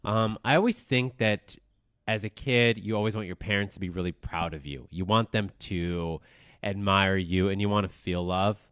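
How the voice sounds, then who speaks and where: A man in his thirties from Colorado with a smooth, resonant, rather low voice, recorded dry and close. He speaks nervously and haltingly, with frequent fillers, as he opens up as a guest. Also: fairly bright tone, no echo. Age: 30s. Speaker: guest, news manager. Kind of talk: personal interview.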